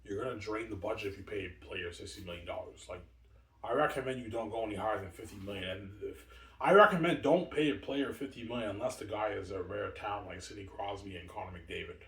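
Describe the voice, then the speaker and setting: A man's voice: lowish voice, fast, a bit bright. A man in his forties rambling in a long monologue, repeating himself, stumbling over words and answering his own questions. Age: 40s. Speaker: video host. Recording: echoey room, far from the mic.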